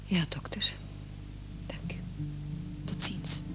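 An actress in a radio drama playing the distraught, reproachful wife of a gravely ill man. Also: takes it slowly, whispered, music in the background, close to an everyday voice. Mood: subdued. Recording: old radio play, faint noise and hum.

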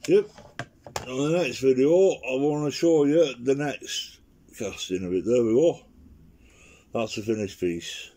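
A man with a croaky voice from an infection, chatting in a rambling way as he demonstrates watercolour painting in his living room, recorded by a camera on the table. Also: mid-range voice, a little slow, dark tone. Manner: rambling, chatty, talks to himself while painting. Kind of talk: casual painting demo commentary. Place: living room at home, indoors. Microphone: camera on the table, speaker off-screen.

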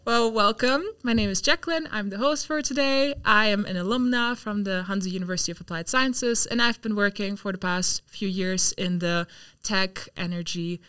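A woman's voice: medium pitch, normal speed, hardly any pauses, pushed and bright, clear, resonant tone, no room sound. A young woman hosting a university podcast, chatty and upbeat, with many filler words. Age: young adult. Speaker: podcast host. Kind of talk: casual interview chat. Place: university studio room. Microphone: desk mic on stand.

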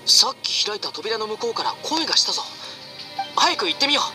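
An upbeat, unlucky young boy adventurer in an anime game. The delivery is animated and friendly, easygoing and boyish, over game music. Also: sits high, fast talker. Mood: curious, unfazed. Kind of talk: Japanese anime game voice acting.

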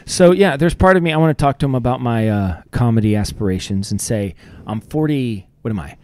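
Lively forty-something man, dry close mic. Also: mid-range voice, a little fast, no room sound. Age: 40s. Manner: rambling, self-deprecating, lively. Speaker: podcast host. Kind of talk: casual chat. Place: small room with a table, seated at a mic on a stand. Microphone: studio mic on a stand.

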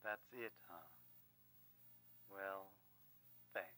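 A sly, worldly cult priest from a horror game, with a sharp, bright and fairly low voice. He is knowing and insinuating, heard over a noisy hum. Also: fairly even intonation, drawn-out words, full character voice. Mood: probing. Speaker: video game voice actor. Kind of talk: dramatic game dialogue.

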